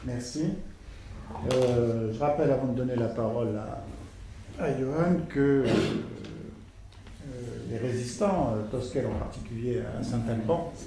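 A man's voice: mid-range voice, unhurried. A man moderating a conference panel, chatty and asking the speaker questions. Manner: chatty, questioning, laughs easily. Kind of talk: conference discussion. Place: large lecture hall. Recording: echoey hall with background noise.

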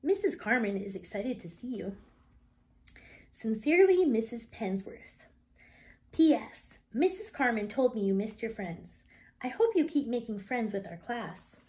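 Kind storybook schoolteacher, played by a woman reader; high, animated, encouraging. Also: a little slow, low-quality recording. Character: kind, encouraging female schoolteacher writing a note.

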